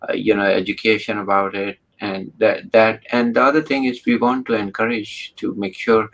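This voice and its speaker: A man hosts an interview in a low, gravelly voice. He talks haltingly, with frequent restarts and fillers. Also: little pitch movement, fairly bright tone, low-quality recording. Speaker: interview host. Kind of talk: casual interview talk.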